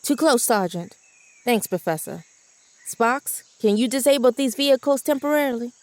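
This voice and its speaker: An actress playing a steady, dutiful wartime commando leader in an audio drama, composed and commanding. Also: female voice, on the high side, normal speed, lightly acted. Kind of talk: scripted audio drama with first-person narration.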